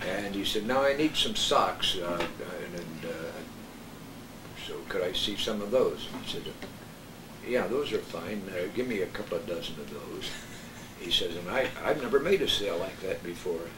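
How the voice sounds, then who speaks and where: An older man telling anecdotes casually and haltingly, often searching for his words, as a panel guest. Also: low voice, expressive. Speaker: panel guest, veteran local broadcaster. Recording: echoey room, distant mic, clear noise.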